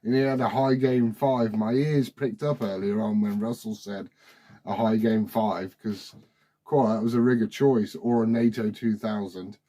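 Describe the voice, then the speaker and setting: A man's voice: lowish voice, steady tone, measured pace, dark tone, slight background noise. A forty-year-old man, chatting casually and familiarly over CB radio. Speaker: CB radio operator asking questions. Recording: CB radio transmission.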